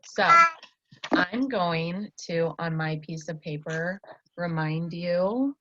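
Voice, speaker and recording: An American woman teaching students informally, explaining step by step in a halting way. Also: lowish voice. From Iowa, United States. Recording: dull lo-fi audio with faint noise.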